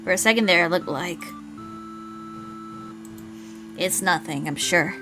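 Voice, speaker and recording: A woman's voice: fairly low pitch, brisk, music in the background, natural voice. Woman reading as introspective young man, earnest narration. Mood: brooding.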